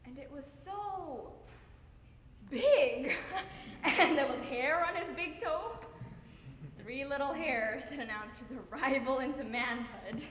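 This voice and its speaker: A young woman performing a stage monologue as a tender, grieving middle-aged mother, with halting, theatrical emotion. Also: high voice, fairly bright tone. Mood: fond, wistful. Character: grieving mother Madeline, anguished and tender, reliving loss. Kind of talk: dramatic interpretation monologue on stage. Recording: echoey hall with noise.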